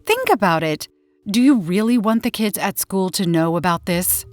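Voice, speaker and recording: A woman's voice: mid-range voice, expressive, moderate pace, lightly acted. A woman voicing a heartless, scornful, commanding mother in an old-time radio horror story. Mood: scolding. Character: heartless mother, disgusted by her own son. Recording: roomy echo.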